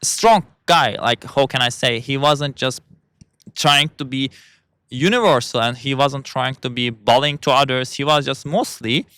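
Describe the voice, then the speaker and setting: A young man with a Turkish accent talks in English, stopping often to hunt for the right word. His voice is bright and crisp, and it sits close and dry on the mic with no room sound. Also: medium pitch, dry recording. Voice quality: halting, searching for English words. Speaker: vlogger. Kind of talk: casual monologue.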